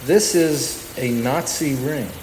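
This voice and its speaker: Male live radio host, unscripted and halting, roomy sound with some noise. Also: medium pitch, darkish tone. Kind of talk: unscripted stage talk to a live audience. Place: stage of a live radio show. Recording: echoey hall reverb, noticeable background noise.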